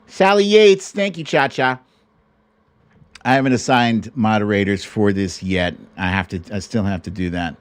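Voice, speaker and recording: A man hosting a live online storytime show, switching between theatrical storybook reading and casual chat, with an exaggerated, mocking, drawn-out delivery. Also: on the low side, clean tone.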